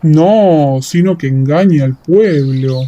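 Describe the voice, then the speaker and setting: Woman voicing a slow, dark-toned skeptical ancient man. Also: low voice, highs cut off, pitched below the performer's own voice. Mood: accusing. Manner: slow, questioning, theatrical delivery. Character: skeptical, accusing crowd of ancient Judean men. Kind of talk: radio-theatre Bible dramatization.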